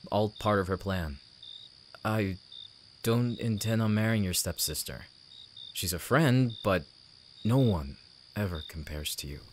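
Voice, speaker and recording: An actor playing a devoted, heartbroken young man in an emotional audio drama, speaking earnestly and haltingly. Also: lowish voice, slight background noise, lightly acted. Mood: soft, wistful.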